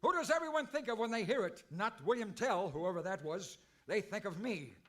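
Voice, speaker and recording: An actor playing a vain, easily flustered cowboy hero in an old time radio comedy. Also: male voice, high voice, expressive, moderate pace, fairly bright tone. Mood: indignant, vain.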